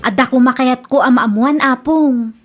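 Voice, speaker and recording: A woman's voice: sits high, normal speed, faint music behind, lightly acted. An actress playing a hopeful, trusting young girlfriend, easily dismayed, in a comedy radio drama.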